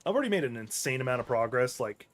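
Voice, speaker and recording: A man's voice: medium pitch, very animated, fast. A man with a mid-range voice talks through his gameplay in a loose, offhand way. He rambles and backtracks, fixing his words mid-thought as he plays. It sounds like an easygoing stream chat with his viewers. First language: English. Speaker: gaming streamer. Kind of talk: casual let's-play commentary while playing.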